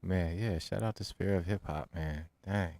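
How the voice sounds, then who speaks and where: A man's voice: low voice, normal speed, gravelly, darkish tone, no room sound, clean studio sound. A man in his forties, a guest hip hop artist, chatting in an easygoing, agreeable way. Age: 40s. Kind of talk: casual interview chat. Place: radio studio.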